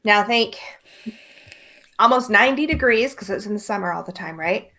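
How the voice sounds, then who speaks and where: A woman's voice: mid-range voice, lively intonation, moderate pace, bright, forceful tone. A woman chatting casually on a comedy podcast in a blunt, sweary way. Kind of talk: casual chat, banter.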